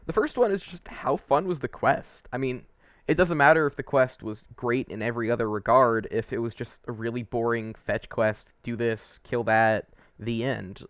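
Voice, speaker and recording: A man's voice: medium pitch, steady tone, normal speed, dry recording. A man giving casual, opinionated video game commentary as a host, conversational and a little hesitant, wandering into asides.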